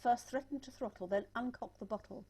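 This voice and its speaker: A woman playing a severe, bullying old nanny in an amateur musical read-through, declaiming with drawn-out menace. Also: on the high side, a little mellow, natural voice. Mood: stern, menacing.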